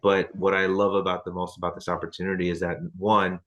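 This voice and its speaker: A man's voice: deep voice, level tone, fairly quick, fairly bright tone. A man in his thirties leading a team training over a video call, loose and chatty with lots of fillers and restarts. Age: 30s. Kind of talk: casual video call chat.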